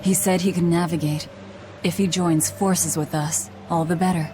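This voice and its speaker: A woman voicing a grim, hard-bitten young outlaw in a video game, curt and deadpan. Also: lowish voice, normal speed, over music, natural voice. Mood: cool, calculating.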